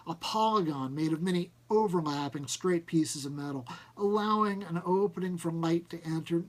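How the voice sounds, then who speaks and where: A man narrating a first-person short story, reading in an expressive, measured way. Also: a little high. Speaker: audiobook narrator.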